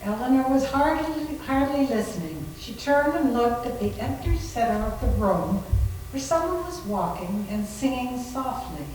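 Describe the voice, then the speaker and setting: Woman narrator, dramatic scripted reading, lively intonation, heavy echo, distant mic, faint noise. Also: fairly high pitch, normal speed.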